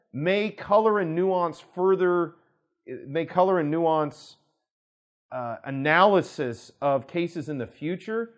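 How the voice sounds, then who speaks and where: A man, a law firm director, explaining legal concepts to camera in a conversational way, with frequent restarts and asides. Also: a little high. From United States.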